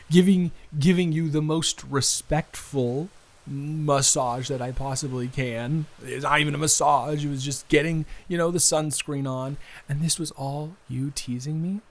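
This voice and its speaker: A man playing a flustered, smitten young man in an improvised romantic audio roleplay, speaking haltingly and conversationally. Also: a little high, fairly even intonation. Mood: amazed, teasing. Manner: halting, flustered, with sighs, gasps and laughs.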